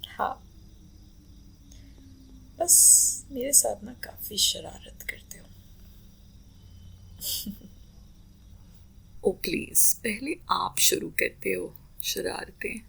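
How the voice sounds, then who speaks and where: A woman playing a shy, playful, affectionate wife in a romantic roleplay ASMR, speaking in a coy, breathy, drawn-out whisper. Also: mid-range voice, lively intonation, pushed and bright, full character voice, low-quality recording. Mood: teasing, affectionate.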